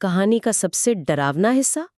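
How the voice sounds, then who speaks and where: A woman co-hosting a horror story show, giving conversational commentary with expressive questions and reflective remarks. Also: medium pitch, moderate pace, dry recording, clean studio sound. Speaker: co-host reacting to stories.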